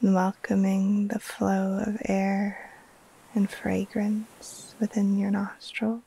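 A woman guiding a relaxation meditation in a soft, breathy, soothing voice that often trails into a near-whisper, with long pauses between short phrases. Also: medium pitch, monotone, poor audio. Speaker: yoga nidra teacher, meditation guide.

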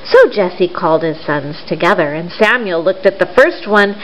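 A middle-aged woman doing storytime for young children, speaking simply with questions and pauses, recorded in a living room. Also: on the low side, animated, a bit bright. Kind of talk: storytime talk and read-aloud for young children. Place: living room at home, indoors. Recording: roomy, dull sound with faint noise.

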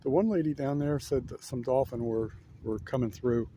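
A man casually narrating a walk-around outdoors as a fishing vlogger, in a laid-back, halting, rambling manner. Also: medium pitch, background noise. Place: outdoors on an ocean fishing pier. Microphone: handheld camera, held by speaker.

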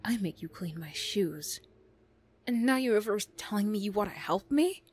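A woman playing a defensive teenage school bully girl who reluctantly opens up, prickly and halting, in an emotional ASMR roleplay. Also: medium pitch, animated, a little mellow, husky. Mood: indignant, incredulous. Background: music.

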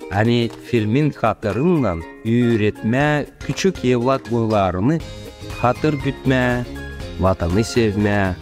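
A man giving a measured, deliberate scripted narration. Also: lowish voice, darkish tone.

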